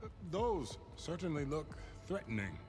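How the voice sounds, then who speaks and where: Formal, portentous cult aide. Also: male voice, fairly high pitch, very animated, measured pace, over music. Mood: uneasy. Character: smooth, formal male aide to a cult leader. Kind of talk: video game acting.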